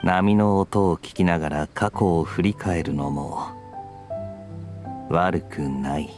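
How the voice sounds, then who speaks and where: An actor playing a suave, teasing young knight in a video game, languid and wry. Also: male voice, low voice, takes it slowly. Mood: musing, relaxed. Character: suave, teasing young man, a laid-back adventurer knight. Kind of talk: Japanese video game voice acting. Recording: studio voice over guitar game music.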